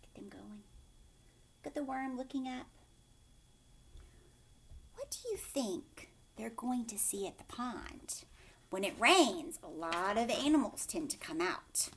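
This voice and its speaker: Female storytime teacher, fairly high voice, very unhurried, expressive read-aloud with hushed, questioning asides to young kids. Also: slight background noise. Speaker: teacher reading a picture book aloud.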